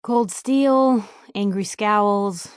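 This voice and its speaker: A woman's voice: medium pitch, very slow, clear, resonant tone, dry recording, studio quality. A woman playing a brash, nosy young American reporter in a video game, wry and idealistic, with a sardonic, punchy, conversational delivery. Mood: incredulous, wry. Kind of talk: video game companion dialogue.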